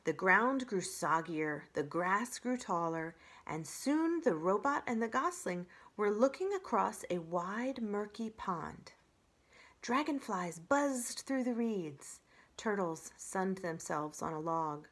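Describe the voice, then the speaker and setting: A middle-aged woman reading a children's story aloud in an expressive storytelling style. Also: medium pitch, slow. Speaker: children's library story reader.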